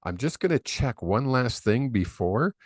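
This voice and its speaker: A man's voice: mid-range voice, sing-song, unhurried, dry recording, studio quality. A man hosting a live psychic reading stream, chatty and rambling with lots of fillers, on a desk microphone. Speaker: psychic medium host. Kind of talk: casual live-stream chat. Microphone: desk microphone on a video call.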